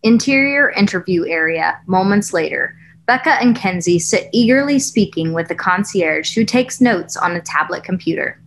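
A woman's voice: on the low side, lively intonation, moderate pace, few pauses. A young woman reading screenplay directions as scripted narration over a video call. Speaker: stage direction reader. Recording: noisy room video call.

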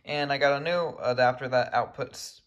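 A man's voice: medium pitch, moderate pace, very noisy. A man giving a casual hands-on tutorial as a video creator, recorded indoors at home on a handheld camera. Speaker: video creator demonstrating radios.

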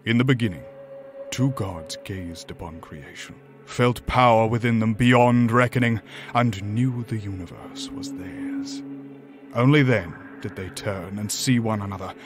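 An actor playing a grave, ancient demon from a higher dimension in a horror audio drama, speaking formally and deliberately. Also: male voice, lively intonation, over music. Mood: solemn. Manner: formal, deliberate, solemn, eloquent.

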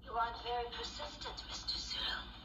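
A woman's voice: on the high side, wildly swooping pitch, fairly quick, distant mic, over music. An actress playing a firm female starship officer in an old television science fiction drama. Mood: cool. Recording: echoey, noisy toy speaker across room.

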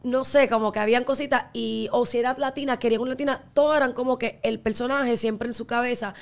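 A woman's voice: on the high side, fairly even intonation, fast talker. A young woman with a Dominican accent, chatting as a guest on a studio podcast and slipping English words into her Spanish. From United States, Miami area. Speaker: guest, singer. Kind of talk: casual podcast interview. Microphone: studio microphone on stand.

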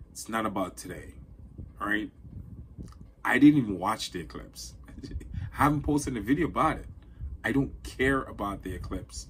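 A man in his forties talks straight into a phone camera, emphatic and repetitive, hands moving as he speaks. His voice sits a little low. A faint hiss of room ambience sits behind him. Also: fairly bright tone, poor audio. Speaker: social media creator. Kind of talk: talking-head monologue to camera. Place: indoors in front of a green screen. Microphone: phone or camera close in front.

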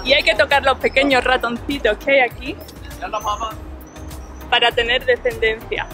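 A woman's voice: high voice, steady tone, moderate pace. A woman in her thirties with a Spain Spanish accent, cheerfully explaining places and food as a travel vlogger filming herself. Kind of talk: casual travel narration to camera. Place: outdoors in old town streets and shops. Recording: background music and crowd chatter.

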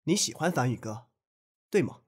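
A man playing an earnest, selfless young man in an audio drama, speaking softly and sincerely. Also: medium pitch, sing-song, normal speed, slight background noise, lightly acted. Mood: resolute.

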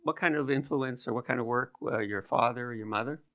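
Older male interviewer, gravelly and encouraging, close studio mic. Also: medium pitch, brisk, highs cut off. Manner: encouraging, short backchannels. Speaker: podcast host. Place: small studio. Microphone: studio mic with headphones.

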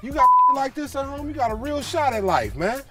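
High-voiced teasing man over music. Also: very animated, a little fast. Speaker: comedian on a reality skit. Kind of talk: comic commentary in a produced video. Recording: played through a video with music behind.

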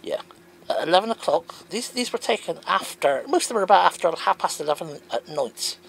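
A man's voice: sits high, a little fast, creaky, slight background noise, poor audio. A man reading out comments and answering them in an emphatic, wondering way. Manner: animated, wondering aloud, emphatic.

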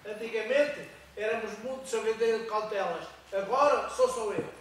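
A man's voice: high voice, moderate pace, slight background noise, close to an everyday voice. An older man acting an old lottery ticket seller, subdued, on an amateur stage. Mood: wistful. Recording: echoey hall from far off.

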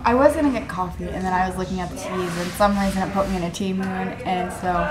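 A woman's voice: fairly low pitch, fast, crowd noise. A young American woman chatting casually and informally in a rambling vlog style, recorded on a camera microphone. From United States, Indiana. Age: young adult. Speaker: vlogger. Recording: roomy echo, noisy handheld camera audio.

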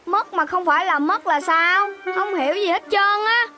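A woman's voice: very high voice, normal speed, loud music behind the voice. A woman with a Southern Vietnamese accent voicing a spirited, boastful, eager little boy animal in a children's cartoon. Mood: puzzled, determined.

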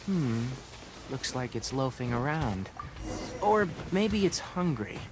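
An actor voicing a young fox-eared forest ranger who speaks in a precise, analytical, composed way. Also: male voice, medium pitch, big pitch swings, slow pace, background noise. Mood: calm. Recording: game audio with faint music.

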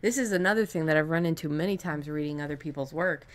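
A low-voiced woman in the role of podcast host. She talks in a casual, explanatory way, giving advice and sketching quick examples as she goes. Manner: explanatory, illustrates points with made-up examples. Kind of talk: casual advice discussion about fiction writing.

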